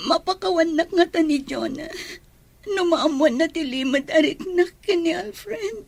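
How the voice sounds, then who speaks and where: Babaeng gumaganap bilang dalagang balisa, mataas ang boses. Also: female voice, very high-pitched, unhurried, a little mellow. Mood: tearful confession. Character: guilt-stricken, tearful young woman in a love triangle. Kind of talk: Ilocano audio drama acting.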